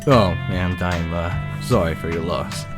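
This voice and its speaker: A man's voice: low-pitched, unhurried, music in the background. A man voicing a kind, courteous small-town diner server in a visual novel fan dub. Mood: nervous.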